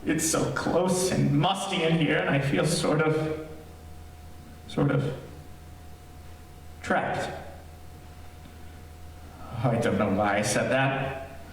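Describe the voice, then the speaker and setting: Guy playing lonely guy losing his mind in a radio play, slow rambling, far from mic in big echo. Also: mid-range voice, close to an everyday voice. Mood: uneasy, brooding. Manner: rambling, halting, repeating himself. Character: lonely, unhinged man addressing listeners, sliding from wistful to hysterical. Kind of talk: theatrical old-time radio drama acting. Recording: very echoey stage, distant mic, faint noise.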